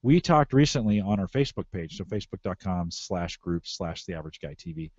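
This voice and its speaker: A man's voice: low-pitched, lively intonation, brisk, darkish tone, no echo, highs cut off. A middle-aged American man hosting a casual tech podcast, repeating phrases for emphasis. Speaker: podcast host. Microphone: close podcast microphone through mixer.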